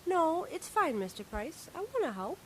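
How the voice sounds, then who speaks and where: A woman's voice: extremely high pitch, very animated, normal speed, slight background noise, poor audio. An actress playing an eager, childlike young woman in a radio drama.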